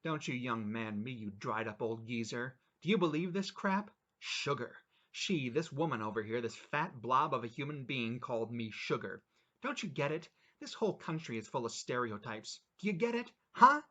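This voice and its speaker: A man voicing a furious young man in a small-town cafe, ranting sarcastically and shouting his indignation. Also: mid-range voice, animated, moderate pace, muffled recording. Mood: indignant ranting.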